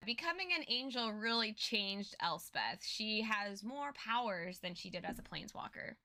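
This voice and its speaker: A woman's voice: medium pitch, animated, moderate pace, bright, forceful tone, a little hiss or hum. A woman co-hosting a recap show, giving casual commentary with playful, joking interjections. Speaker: co-host of a lore recap show.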